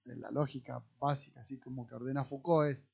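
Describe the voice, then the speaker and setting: A man's voice: mid-range voice, fairly even intonation, fairly quick, gravelly, mellow, husky, highs cut off. A man with a Rioplatense accent giving casual political commentary as a podcast co-host, explaining things analytically. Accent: Rioplatense Spanish.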